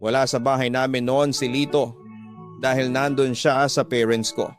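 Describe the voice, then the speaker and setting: A man performs a betrayed husband in a radio drama, wounded and earnest. He pleads his side with aggrieved emphasis at a middling pitch, with music playing underneath. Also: flat delivery, normal speed, lightly acted. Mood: hurt, bitter. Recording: music bed behind the voice.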